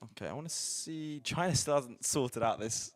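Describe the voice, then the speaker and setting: A man's voice: mid-range voice, moderate pace, no room sound. Dry-voiced man explaining game history. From southern England. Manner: dry, explanatory, wry jokes. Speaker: livestream co-commentator. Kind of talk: casual live game commentary.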